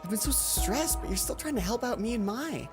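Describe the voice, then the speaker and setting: A high-pitched, emotional schoolgirl from a visual novel, acted out by a man. She is stubborn and worn out, and her indignant pleas leap around in pitch. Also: expressive, brisk, music in the background. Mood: upset, exasperated.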